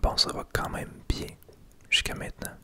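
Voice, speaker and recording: A person with a Québécois French accent whispering casual ASMR gameplay commentary in short remarks, breathy and close to the microphone. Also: moderate pace. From Quebec, Canada. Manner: soft, casual, reacting to the game in short remarks. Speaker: gaming ASMR video creator.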